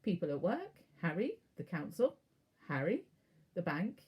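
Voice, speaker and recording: Husky, mellow woman reading a storybook. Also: on the low side, very animated, unhurried. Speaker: teacher reading aloud. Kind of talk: children's storybook narration.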